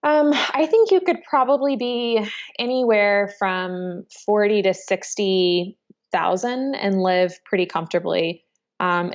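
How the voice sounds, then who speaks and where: Woman in her thirties, from Chicago, casual interview answers, frequent ums, muffled lo-fi audio. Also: mid-range voice. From Chicago, United States. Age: 30s. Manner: thoughtful, many ums and hedges. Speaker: interviewee, entrepreneur. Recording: dull lo-fi sound.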